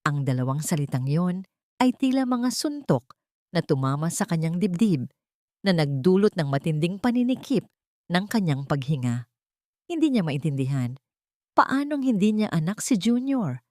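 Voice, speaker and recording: Woman storyteller doing dramatic love story narration, kinda low voice, no room echo. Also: big pitch swings, normal speed, dark tone, clean studio sound.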